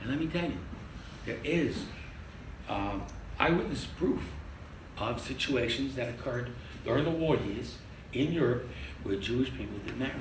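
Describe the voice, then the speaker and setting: An older man teaching a lively interactive Torah class as a rabbi, quizzing his audience and joking. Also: mid-range voice, moderate pace. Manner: animated, quizzes the audience, jokes. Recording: echoey room from far off with noise.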